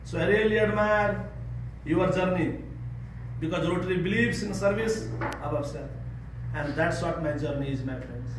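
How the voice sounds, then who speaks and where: A man's voice: high-pitched, moderate pace. A man from Nepal with a Nepali accent, giving an earnest speech to a service club and repeating words for emphasis. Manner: earnest, repeats words for emphasis, leads a call-and-response chant. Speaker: guest speaker. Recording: echoey room, speaker far from the mic, noisy.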